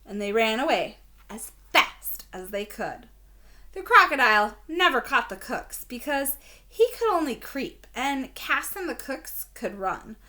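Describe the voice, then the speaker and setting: High, lively woman reading a children's story. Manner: expressive, deliberate, prompting listeners with questions. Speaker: teacher reading aloud to children. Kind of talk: children's story read-aloud.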